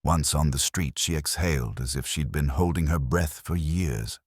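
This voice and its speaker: A man's voice: a bass voice, normal speed, breathy edge, no echo, clean studio sound. A man reading a first-person detective story as an audiobook narrator.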